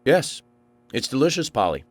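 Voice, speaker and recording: An actor with an American accent playing an eccentric, polite investigator in a video game, speaking in a measured, formal way. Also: male voice, mid-range voice, big pitch swings, no echo, natural voice. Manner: measured, formal, musing aloud. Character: eccentric polite male investigator, brooding and courteous. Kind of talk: scripted video game voice acting.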